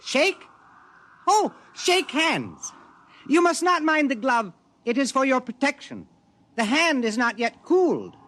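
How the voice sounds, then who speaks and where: Very high-pitched stilted alien. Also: male voice, huge pitch swings, takes it slowly, bright, forceful tone, full character voice. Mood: puzzled, formal. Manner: stilted, odd phrasing. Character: glowing alien man, literal-minded, stilted and puzzled by jokes. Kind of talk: old-time radio drama acting. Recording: noisy vintage radio recording.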